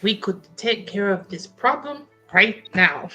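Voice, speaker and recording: Woman, roleplaying game player, casual chat, forceful bright tone, animated intonation, faint music underneath. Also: mid-range voice, a little slow. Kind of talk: casual table talk.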